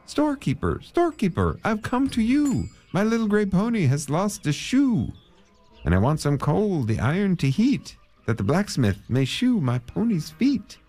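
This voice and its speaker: Man playing anxious pony owner, high pleading voice, sing-song refrain, storybook. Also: wildly swooping pitch, moderate pace, darkish tone, exaggerated voice. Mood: fretful pleading. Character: fretful man who lost his pony's shoe.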